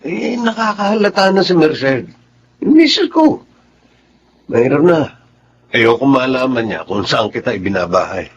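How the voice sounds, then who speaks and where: A man's voice: medium pitch, sing-song, a little slow, long pauses. A man acting a cautious, placating cheating husband in a Filipino radio drama. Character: married kept-mistress lover, cautious and placating. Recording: slightly dull sound, faint background noise.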